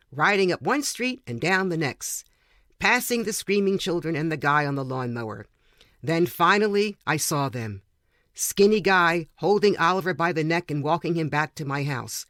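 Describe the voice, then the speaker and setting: Guy reading a funny true-life story out loud, voice a bit high, really dry sound. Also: no room sound. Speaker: reader of short stories for a podcast. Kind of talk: scripted narration, reading a humorous personal story aloud.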